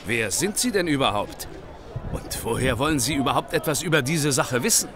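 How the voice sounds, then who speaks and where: An actor playing a nervous, evasive hotel steward in an audio drama, halting and stammering. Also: male voice, medium pitch, animated, noisy background, natural voice. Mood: agitated, suspicious. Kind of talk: German audio drama acting.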